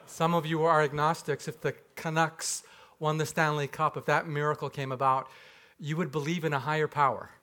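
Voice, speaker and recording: A man's voice: a little high, flat delivery, moderate pace, bright, forceful tone. A man from Japan, a pastor, giving a conversational sermon with rhetorical questions and light humor in a church. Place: church sanctuary.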